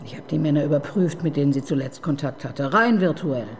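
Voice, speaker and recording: Sardonic female homicide detective, lively pitch, brisk, dry questioning, faint hiss underneath. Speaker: detective chief inspector. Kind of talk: radio crime drama acting.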